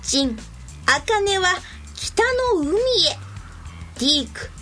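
A woman's voice: high voice, animated, a little slow, sharp tone, soft background music, full character voice. A woman playing a playful, kindly elderly female commander in an anime-style radio drama, with old-fashioned elder speech. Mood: kindly, commanding.

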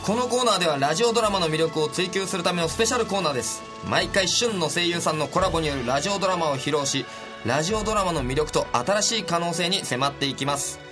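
Lively, higher-pitched male radio host. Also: fast, over music. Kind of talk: scripted radio corner introduction.

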